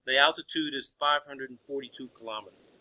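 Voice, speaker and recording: A man with a strikingly bright, tense voice at an ordinary male pitch. He talks like a caller in an argumentative phone conversation. He is insistent, often doubling back to repeat or restart a phrase. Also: brisk, poor audio. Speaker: caller questioning a space agency official.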